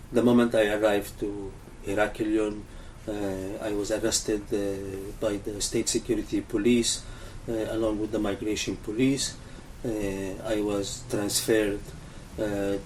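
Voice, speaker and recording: A man from Lebanon answering interview questions, speaking English haltingly with frequent pauses and filler sounds. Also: fairly low pitch, a little mellow. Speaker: interview guest, activist coordinator. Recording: distant mic with faint ambient noise.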